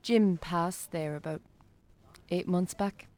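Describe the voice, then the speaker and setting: An actress with an Irish accent playing a friendly, composed, bookish shop worker in a naturalistic radio drama. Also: female voice, fairly low pitch, slow pace, a little mellow. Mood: subdued, candid.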